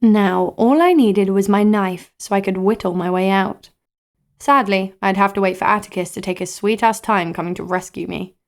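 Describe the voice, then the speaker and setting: A woman's voice: mid-range voice, moderate pace, close to an everyday voice. An actress playing a bold, sarcastic young female adventurer who tells her own tale in an audio drama. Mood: wry storytelling. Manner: sarcastic, dry. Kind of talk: first-person audio drama narration. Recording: music under the voice. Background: instrumental music.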